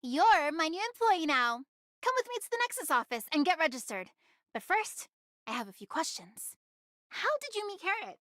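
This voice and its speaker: A woman's voice: very high voice, very animated, moderate pace, fairly bright tone, dry recording, clean studio sound, exaggerated voice. A woman voicing a bossy, sly young woman in an anime game, commanding and teasing in manner. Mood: suspicious, bossy.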